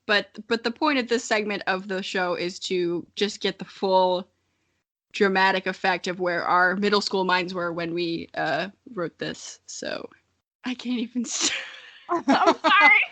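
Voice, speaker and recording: A woman's voice: medium pitch, a little slow, sharp tone. A woman in her early twenties, an informal, giggly podcast co-host who chats casually and reads aloud. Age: early 20s. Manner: giggly, informal, often breaking into laughter. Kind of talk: casual chat and dramatic reading of childhood writing.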